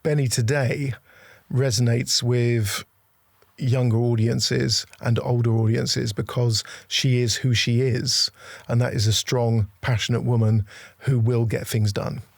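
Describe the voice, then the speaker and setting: A man's voice: medium pitch, level tone, a little slow, gravelly, dry recording. A man giving earnest, emphatic opinions in a documentary interview. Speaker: production team interviewee.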